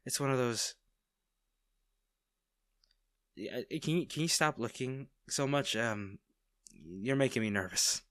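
A man's voice: medium pitch, expressive, moderate pace, no echo, studio quality, lightly acted. A man playing a tender, nervous villain in an intimate audio roleplay, stammering and hesitant as he speaks to the listener. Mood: flustered. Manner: hesitant, stammering, soft-hearted. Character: smitten masked male villain, nervous, tender, teasing.

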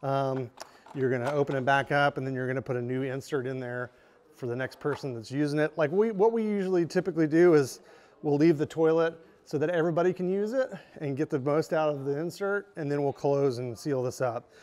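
Man in his thirties, showroom walkaround, chatty, fillers, slightly high voice. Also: a little fast, a little mellow. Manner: chatty, frequent "um" and "right?". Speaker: store product presenter. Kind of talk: casual product walkaround. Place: large bright indoor gear showroom.

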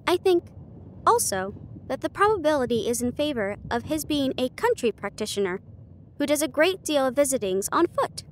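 A woman voicing an earnest, eager gentleman, a detective's companion, speaking formally in an audiobook. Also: high-pitched, very animated, normal speed, a little hiss or hum.

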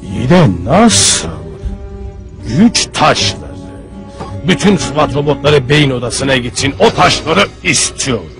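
A man's voice: medium pitch, lively intonation, measured pace, gravelly, music in the background. A man playing a villainous mad scientist dictator in a cartoon dub, hateful, impatient, commanding and scornful. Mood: commanding, greedy. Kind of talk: animated cartoon dub acting.

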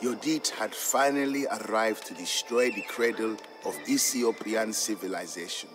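A low-voiced, bitter old veteran acted by a man tells his tale gravely and dramatically, like a stern elder in a game story. Music plays behind him. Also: moderate pace, close to an everyday voice. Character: stern, bitter one-eyed old merchant and war veteran.

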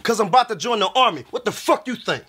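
A man's voice: high-pitched, animated, fast, bright, forceful tone, slightly rough, exaggerated voice. A man with an American accent playing a bossy, short-tempered friend in a comedy skit, blunt, slangy and profane. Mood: irritated.

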